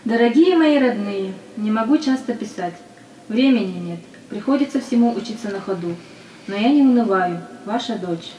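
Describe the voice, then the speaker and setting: A woman's voice: mid-range voice, animated, moderate pace, mellow, slight background noise, lightly acted. A woman in an audio play acting a practical, dutiful young female soldier with a matter-of-fact delivery. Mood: reassuring. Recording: roomy echo, music behind.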